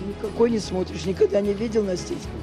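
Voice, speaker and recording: A female street interviewer with a mid-range voice puts casual questions and keeps gently pressing. Music plays clearly behind her. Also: mid-range voice, very fast. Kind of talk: informal vox-pop questioning.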